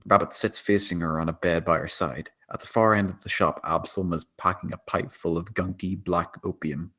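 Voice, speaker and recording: A man's voice: low voice, steady tone, a little fast, sharp tone. A man reading out stage directions as scripted screenplay narration at a table read.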